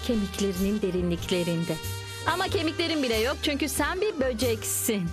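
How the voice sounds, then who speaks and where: A woman's voice: a little high, expressive, a little fast, natural voice. Woman as young female bug sleuth, theatrical noir storytelling, cartoon dub, music behind. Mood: mock-serious. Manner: dramatic, mock-serious storytelling. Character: eager young female insect playing hard-boiled film-noir detective. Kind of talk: dubbed cartoon dialogue and noir narration. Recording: music under the voice.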